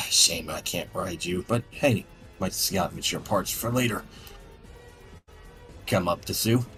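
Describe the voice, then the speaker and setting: A man with a fairly low voice talks through his moves in a tabletop game. He is casual and blunt, and faint music plays underneath. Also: takes it slowly, sharp tone.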